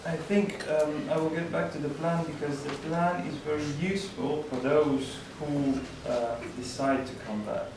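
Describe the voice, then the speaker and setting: A man's voice: fairly high pitch, fairly even intonation, a little slow, hardly any pauses. A man, speaking frankly and hesitantly as an NGO worker on a discussion panel. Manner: hesitant, frank, many fillers. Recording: echoey hall, mic far off, background noise.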